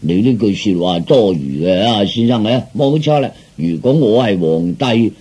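A man's voice: lowish voice, lively intonation, moderate pace, mellow, slightly rough, voice pushed down. A man voicing a vain, gullible old rich man in Cantonese, stammering and repeating his words. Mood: pleased, self-important.

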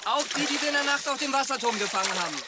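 Very high-voiced, agitated teenage boy. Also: rapid-fire, noisy background. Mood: exasperated. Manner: rough, urgent, slangy. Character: agitated working-class teenage boy scolding his reckless friend. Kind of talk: radio drama acting. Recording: loud outdoor water ambience.

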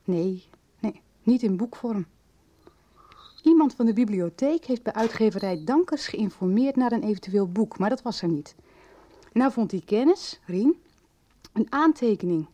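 An earnest young woman speaks confidentially in a radio soap. Her voice is mellow and mid-pitched, with a deliberate, natural delivery. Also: expressive. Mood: confiding, earnest.

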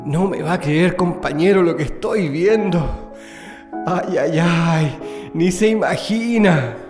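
A man's voice: on the high side, animated, slow. An actor playing an eager, wry prisoner digging an escape tunnel in a radio drama, savoring his words. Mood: awed, straining. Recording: long tunnel reverb, music behind.

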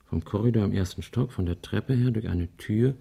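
An actor playing a wry, analytical husband in a radio crime drama, reasoning aloud in a deliberate, musing way. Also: male voice, on the low side, gravelly, dark tone, husky, full character voice. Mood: wry, grave. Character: wry analytical husband puzzling out a child's grisly drawings.

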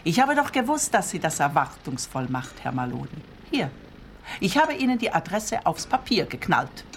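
A woman's voice: fairly low pitch, big pitch swings, moderate pace, slight background noise. An actress playing a nosy, self-important, impatient woman in a radio play, speaking in an affected, emphatic way. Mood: conspiratorial, smug. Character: nosy, self-important, impatient female client with quaint diction.

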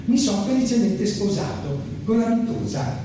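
A man voicing a simple, contented grappa-drinking mountain man with a Triestine accent, slow and rambling, in a satirical monologue. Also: sits high, expressive, mellow, pitched above the performer's own voice. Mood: placid, tipsy. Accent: northeastern Italian, Triestine. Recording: very echoey hall, distant, noisy.

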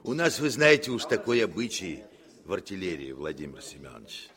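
An actor playing a genial, authoritative artillery commander with a husky voice in a Soviet radio drama. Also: male voice, sits low, very animated, a little fast, a bit bright. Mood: genial, hearty. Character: genial, brisk artillery commander among his officers.

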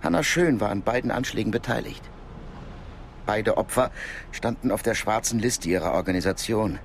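Casting: calm, knowing secret-service contact, lowish voice, measured radio drama delivery over ambient noise. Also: male voice, creaky. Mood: grave, explanatory. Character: discreet male Cold War intelligence contact, calm and knowing. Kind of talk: naturalistic German radio drama acting.

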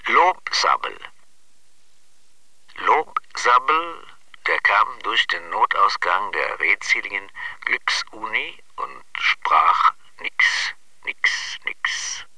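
An actor playing an eccentric, intense reciter of nonsense verse in an experimental radio play, with theatrical, repetitive fragmented phrasing. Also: male voice, fairly low pitch, big pitch swings, extremely slow, bright, forceful tone, no echo, exaggerated voice, rough recording.

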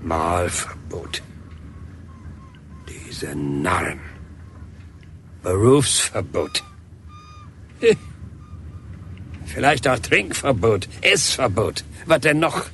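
Earthy defiant painter man, radio drama, voice swings all over, slow halting talk, bit of noise behind. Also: fairly low pitch, sing-song, fairly bright tone, exaggerated voice. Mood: bitter mockery.

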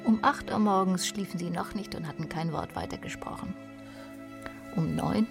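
Creaky, low female radio-play narrator. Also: moderate pace, music in the background. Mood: cool, hushed. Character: cool, intimate female narrator of a seedy love story.

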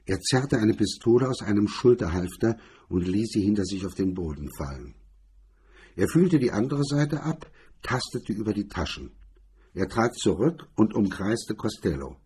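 A male narrator reads hardboiled crime prose. His voice is on the low side and strongly husky, with a dark, mellow colour. The delivery is scripted and literary. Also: very animated, a little slow. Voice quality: husky, rough-edged. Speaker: narrator reading a crime story.

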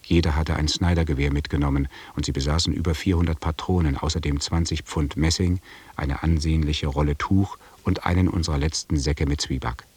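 An actor narrating a German radio drama as a sober expedition member, reporting in a matter-of-fact way, a little away from the microphone. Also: male voice, a bass voice, fairly even intonation, brisk, darkish tone, distant mic, a little hiss or hum. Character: sober expedition member recounting the march as witness.

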